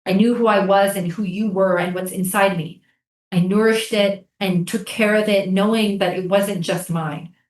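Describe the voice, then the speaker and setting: A woman's voice: medium pitch, steady tone, moderate pace, natural voice. A woman in a staged play reading, acting a haunted, devoted mother. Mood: tender, intense. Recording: echoey room.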